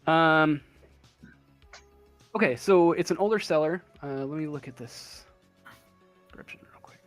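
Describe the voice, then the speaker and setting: Hesitant, higher-pitched man running a game. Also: soft background music. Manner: hesitant, halting. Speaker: game master. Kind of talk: casual improvised game narration.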